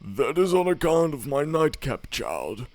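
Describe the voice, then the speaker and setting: A man's voice: fairly high pitch, sing-song, measured pace, no room sound, voice pushed up. A man doing a sly, hungry wolf. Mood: wheedling. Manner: crafty, wheedling, rising to loud growls. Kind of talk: storybook read-aloud.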